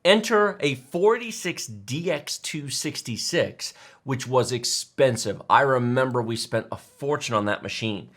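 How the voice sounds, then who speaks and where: Animated man in his forties, storytelling. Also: medium pitch, a little slow. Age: 40s. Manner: animated, emphatic, enthusiastic. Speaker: tech show co-host. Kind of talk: casual live stream storytelling.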